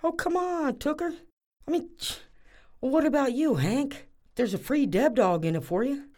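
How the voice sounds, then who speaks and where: A woman's voice: sits high, expressive, moderate pace, dark tone. A woman playing a nosy, wheedling, sarcastic character in a comedic audio drama, coaxing and wry.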